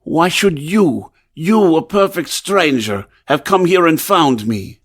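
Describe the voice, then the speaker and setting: A man's voice: a little high, lively intonation, takes it slowly, no room sound, clean studio sound. A man playing an anguished composer who fears madness in an old-time radio drama, intense, brooding and theatrical. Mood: desperate. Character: haunted, obsessed male composer, anguished and fearful of madness.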